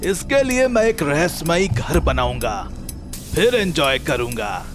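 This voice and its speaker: Man acting a theatrical, slow-talking comic cartoon character. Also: fairly high pitch, big pitch swings, music in the background. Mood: gleeful, boastful. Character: blustering male police officer and boastful male thief in comic story. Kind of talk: Hindi cartoon story acting.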